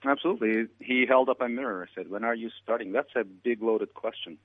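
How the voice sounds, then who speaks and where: A man from Turkey answering interview questions in a measured, reflective way. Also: medium pitch, no echo. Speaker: guest. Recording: tinny phone line.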